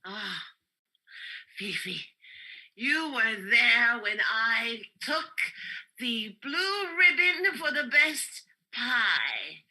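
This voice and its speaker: A proud, brassy pet owner brags at a slow, deliberate pace, as a woman acts her out. Her pitch swings widely and her tone rings bright. It sounds like a video call. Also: sing-song, heavily acted, rough recording. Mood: boastful.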